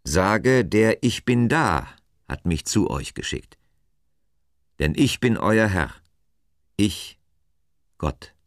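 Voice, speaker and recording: A stern, patient God in a Bible tale, performed by a male narrator. The delivery is solemn and emphatic, with animated pitch movement and a dry, close sound. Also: takes it slowly, pauses often, no room sound. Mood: firm, assuring.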